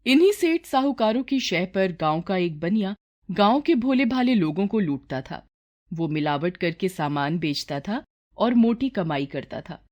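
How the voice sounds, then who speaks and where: A woman's voice: mid-range voice, big pitch swings, measured pace, darkish tone. A woman narrating a scripted moral story as the storyteller.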